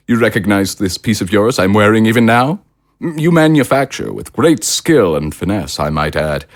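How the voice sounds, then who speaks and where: An actor playing a suave, self-satisfied political leader in an audio drama, with pompous, elaborately polite diction. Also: male voice, fairly low pitch, close to an everyday voice. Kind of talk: theatrical audio drama acting.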